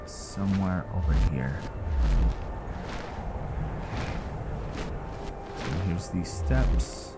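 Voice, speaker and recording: A man's voice: low voice, drawn-out words, long pauses. A man giving casual, laid-back commentary while playing and roleplaying in a video game. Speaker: gamer, streamer. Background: loud game music.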